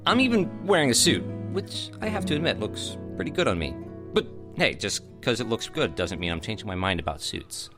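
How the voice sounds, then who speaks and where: An actor playing an eager, self-assured young aspiring theater director in an audio drama, earnest and conversational. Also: male voice, mid-range voice, lightly acted. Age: young adult. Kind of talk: audio drama acting with confiding narration.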